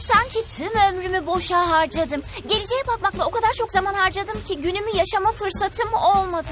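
A woman's voice: extremely high pitch, brisk. A woman voicing a dramatic, melancholy cartoon cave girl who sees the future, in a dub. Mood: gloomy. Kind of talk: cartoon dubbing. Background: loud background music.

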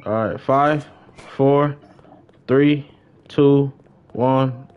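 A man's voice: medium pitch, fairly even intonation, extremely slow, a little hiss or hum. A young American man chatting casually on a live game stream, slangy and repeating short phrases. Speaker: live streamer playing an online roleplay shooter game. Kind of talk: casual live gameplay chat. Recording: slightly dull, lo-fi stream audio.

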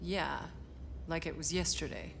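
Blunt, low-voiced soldier, reverberant. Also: female voice, steady tone, unhurried, vocal fry, a little hiss or hum. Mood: wry, weary. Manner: blunt, wry. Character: tough, cynical young female superhuman soldier. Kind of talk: naturalistic video game cutscene acting. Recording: long reverb, music behind.

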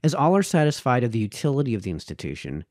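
Man in his thirties, animated pitch movement, careful essay reading, occasional stumbles, dry close-mic sound. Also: no echo. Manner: careful, measured, stumbles over words and rereads them. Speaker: reader, host of a read-aloud series. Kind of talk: reading an old essay aloud. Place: small indoor home study. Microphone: close microphone on a boom arm.

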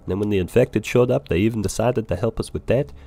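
A man's voice: fairly low pitch, fast, creaky, warm tone. A man voicing a breezy, boastful traveling bar owner in an improvised video game roleplay, rambling and swearing freely. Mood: welcoming. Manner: rambling, profane. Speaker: roleplay player and narrator. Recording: noisy game audio, ambient background sound. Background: game world ambience.

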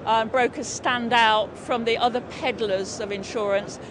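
A woman's voice: on the high side, steady tone, measured pace. A middle-aged woman, an insurance broker, enthusiastically advocating in a vox pop at a busy exhibition hall. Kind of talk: vox pop interview answer. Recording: background hall noise.

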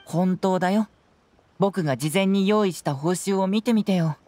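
A woman's voice: medium pitch, warm tone, close to an everyday voice. Woman playing a composed, boyish young detective. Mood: sheepish. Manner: polished, measured, theatrical presenting. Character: clever, composed, playful young male detective. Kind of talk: Japanese game voice acting.

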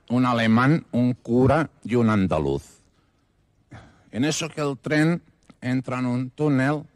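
A man telling jokes in a dry storytelling manner, peppering them with repeated asides. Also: mid-range voice. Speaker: stand-up comedian. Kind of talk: joke-telling monologue.

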